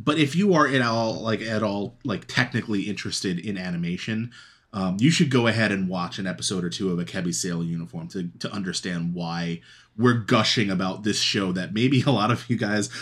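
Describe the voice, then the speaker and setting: A man's voice: on the low side, fairly bright tone. Eager, stumbling podcast co-host, male. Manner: enthusiastic, halting with restarts and fillers. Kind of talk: casual chat.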